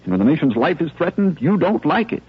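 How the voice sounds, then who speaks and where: Earnest army lieutenant, swooping husky voice. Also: male voice, fairly high pitch, very animated. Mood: earnest, persuasive. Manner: earnest, rhetorical, speaking in measured short phrases. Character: earnest army lieutenant inspiring and steadying his men. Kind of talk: 1950s radio drama acting. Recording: muffled old 1950s radio recording.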